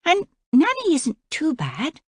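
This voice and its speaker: A woman playing a high-voiced young princess. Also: huge pitch swings, slow, no room sound, studio quality, exaggerated voice. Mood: earnest. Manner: high, plaintive girlish voice. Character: unhappy young princess, earnest girl.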